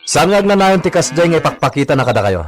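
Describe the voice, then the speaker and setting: Radio serial rallying figure: reassuring, lively melodic delivery, slightly raised pitch. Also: male voice, fast talker. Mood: reassuring, earnest. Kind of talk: radio drama acting.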